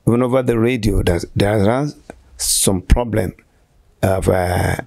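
A man in his sixties with a Nigerian accent, giving halting, deliberate answers as a church shepherd in an interview. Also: on the low side, gravelly, a little mellow, no room sound, studio quality. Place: small furnished room indoors, on a sofa. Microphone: handheld interview microphone held by interviewer.

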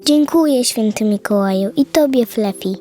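A woman's voice: on the high side, moderate pace, dark tone, voice pushed up, lightly acted. A woman voicing an earnest, wide-eyed little orphan girl in a children's audio fairy tale. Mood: grateful.

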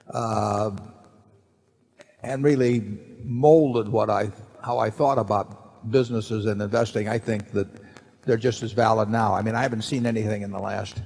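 A man's voice: fairly low pitch, darkish tone, breathy edge. Older man answering shareholders in a hall. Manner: rambling, folksy, many restarts and repeated words. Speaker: company chairman answering shareholder questions. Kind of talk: unscripted answer at a shareholder meeting. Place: large hall on stage, seated at a table. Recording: long hall reverb from the PA.

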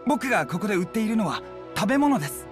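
A man's voice: high-pitched, sing-song, fast, music in the background. A man playing a young, deferential street food vendor in an anime-style game, polite and earnest. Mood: eager, proud. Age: young adult. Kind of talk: anime-style video game dialogue acting.

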